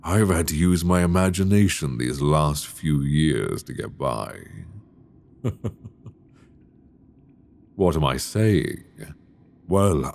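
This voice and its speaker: Man playing a very deep, seductive mad dragon king. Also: gravelly, full character voice. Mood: sultry, obsessed. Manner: theatrical, teasing, drawn-out delivery with soft asides. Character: mad imprisoned male dragon king, seductive, obsessive, menacing. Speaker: audio roleplay voice actor. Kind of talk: intimate ASMR roleplay acting. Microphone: close binaural microphone.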